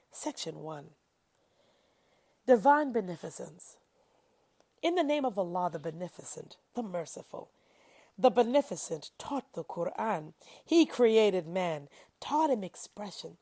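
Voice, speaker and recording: Expressive woman reading scripture, highly varied pitch. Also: gravelly, no room sound. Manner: dramatic, deliberate, with shifting emphasis and hushed phrase endings. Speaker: scripture reader. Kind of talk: expressive, solemn recitation of scripture in translation. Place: studio.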